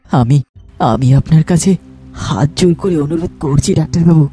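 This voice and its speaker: A man voicing a desperate village father in an animated horror story, begging urgently with halting repetitions. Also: a little high, faint music behind, natural voice. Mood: desperate, pleading. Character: desperate pleading village father, anxious for his only son.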